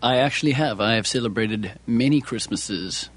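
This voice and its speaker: A man in a deadpan comedy chat, answering absurd questions in a dry, matter-of-fact way. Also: medium pitch, moderate pace, slightly rough. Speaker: comedy co-host.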